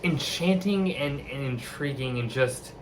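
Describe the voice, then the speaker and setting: A man's voice: medium pitch, unhurried, a little hiss or hum, poor audio. A man reviewing a metal album, enthusiastic and searching for words with frequent restarts. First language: not Icelandic. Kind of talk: spoken music review.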